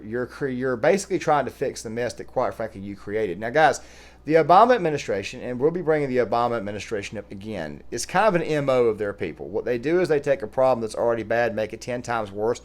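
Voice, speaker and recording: A man with an American accent giving opinionated, emphatic commentary as a vlogger speaking to his viewers. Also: on the low side.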